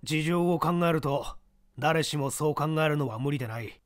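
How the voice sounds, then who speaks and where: A man voicing a composed older gentleman who explains patiently and a little condescendingly in a mystery reading. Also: on the high side, moderate pace, no echo, studio quality, natural voice. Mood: lecturing.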